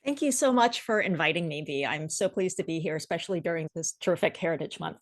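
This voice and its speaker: Woman, middle-aged, American accent, slightly low pitch, articulate, measured, interview guest. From Washington, D.C. area, United States. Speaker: podcast guest, association executive. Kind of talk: conversational interview answers.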